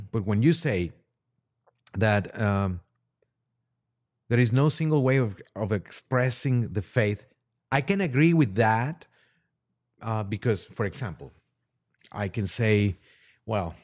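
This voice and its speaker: A man discussing religion in a halting, deliberate, explanatory way, as a priest and podcast guest would. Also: on the low side, darkish tone. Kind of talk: religious discussion, reading aloud and commenting.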